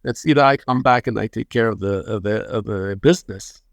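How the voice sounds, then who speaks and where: A gravelly, fairly low-voiced man in his fifties, from Lebanon, tells his story as an interview guest. He talks unhurriedly and often repeats a word while he finds the next phrase. The sound is close and dry, with no room around it. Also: brisk, dry recording. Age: 50s. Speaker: guest, hospital chairman.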